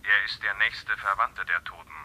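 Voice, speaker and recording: A man's voice: fairly low pitch, normal speed, pushed and bright, poor audio. A man playing a formal, matter-of-fact police inspector in a radio thriller, heard through a telephone filter. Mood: sober, official. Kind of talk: German radio thriller acting.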